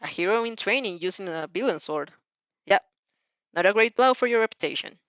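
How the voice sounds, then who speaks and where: Female fan fiction narrator, mid-pitched, informal read with small stumbles; slightly lo-fi home recording. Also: animated, fairly quick, a bit bright, dry recording. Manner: informal reading with stumbles and self-corrections. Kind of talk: second-person story narration read aloud.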